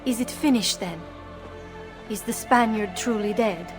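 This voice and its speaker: A woman's voice: mid-range voice, unhurried, over music, natural voice. An actress playing an earnest, affectionate young Renaissance noblewoman with an Italian accent in a video game. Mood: anxious. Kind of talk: video game cutscene acting.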